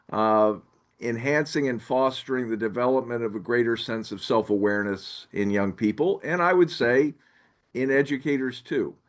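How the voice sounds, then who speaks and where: A middle-aged man speaking reflectively as head of school, in measured, halting phrases. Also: medium pitch. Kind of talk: reflective webinar talk.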